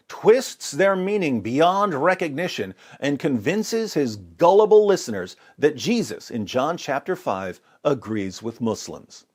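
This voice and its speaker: A man's voice: on the high side, darkish tone, husky. A man giving polemical religious commentary with scripture readings, in an emphatic, sarcastic and theatrical way.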